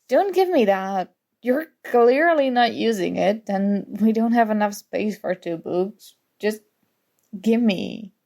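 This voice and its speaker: A young woman playing a sarcastic, competitive college student in an ASMR roleplay, teasing and exasperated, with a creaky voice. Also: fairly high pitch, a little mellow, full character voice. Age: young adult. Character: snarky competitive college student, grudgingly fond academic rival. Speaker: audio roleplay voice actor.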